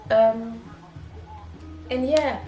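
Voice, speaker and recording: A woman's voice: on the high side, fairly even intonation, drawn-out words, dark tone, reverberant, faint music behind, rough recording. A woman from Portugal with a Portuguese accent, English not her first language, chatting casually in a home vlog with many fillers and restarts. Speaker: booktuber.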